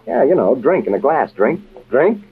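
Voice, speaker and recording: A man's voice: medium pitch, big pitch swings, normal speed. Brash American tough guy, tinny radio. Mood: brusque, flippant. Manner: flippant, tough-talking, casual. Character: brash wisecracking American undercover agent, hardboiled narrator. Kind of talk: old-time radio adventure acting. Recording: tinny, band-limited old radio recording.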